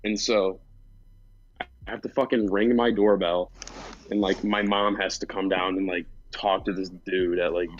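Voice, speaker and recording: Young man with a low voice, swearing casually. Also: flat delivery, normal speed, highs cut off. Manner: slangy, profane, rambling. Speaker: podcast co-host telling a personal story. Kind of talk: casual storytelling among friends. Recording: background music under voice. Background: music.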